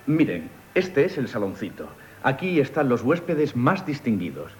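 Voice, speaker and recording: An actor playing a deferential, ceremonious waiter at an inn in a radio theatre comedy. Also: male voice, medium pitch, big pitch swings, moderate pace, faint music behind, natural voice. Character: obsequious, formal male inn waiter.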